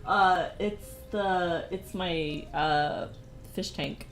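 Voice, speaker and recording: Soft-spoken young woman streamer, slow. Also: fairly low pitch, a little hiss or hum. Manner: soft-spoken, laughs often, rambling. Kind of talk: casual livestream chat while drawing. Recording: faint music behind. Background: faint background music.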